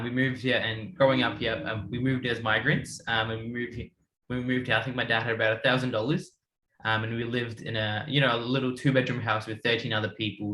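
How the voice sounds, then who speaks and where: A man's voice: medium pitch, fairly bright tone, echoey room, rough recording. A man in his twenties from India, telling his story in a rambling casual way with many restarts. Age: 20s. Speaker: guest. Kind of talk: casual panel chat.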